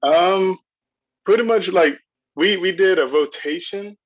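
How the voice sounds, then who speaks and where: A man's voice: high voice, a little slow, no room sound. A young man, a student, chatting casually and explaining in an informal, repetitive way. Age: young adult. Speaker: student writer's assistant. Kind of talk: casual chat. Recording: dull, dry lo-fi microphone.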